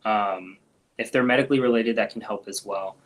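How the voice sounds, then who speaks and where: Male doctor, lower-pitched voice, relaxed live-stream Q&A delivery, lots of filler, soft trailing endings. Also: brisk. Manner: relaxed, frequent ums and restarts, trails off softly.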